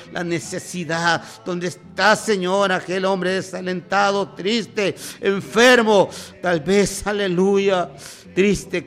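Man, fervent prayer leader, high voice, faint music under him. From former drug and alcohol user. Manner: repeats "Señor" and "aleluya" in an impassioned, pleading rhythm. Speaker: preacher leading prayer.

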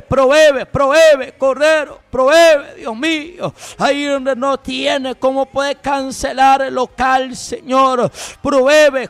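Shouting high-pitched gravelly preacher man. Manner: shouting, pleading, repetitive commands. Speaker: preacher leading prayer. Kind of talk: fervent intercessory prayer with tongues.

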